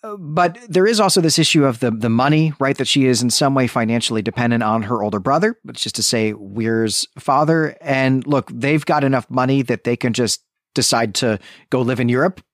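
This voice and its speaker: A man with a mid-pitched voice talks through a literary argument as a podcast co-host. He reasons aloud, qualifies himself and often checks his points as he goes. The sound is dry, with very little room in it. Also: fairly quick, no echo. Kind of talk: conversational literary discussion.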